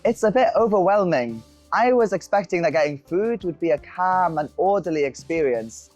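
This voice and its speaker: A woman's voice: fairly low pitch, animated, normal speed, a little mellow. Woman presenting outdoors, music behind. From North Wales. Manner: candid, self-deprecating storytelling. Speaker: host. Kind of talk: scripted conversational presenting. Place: open-air food centre, outdoors.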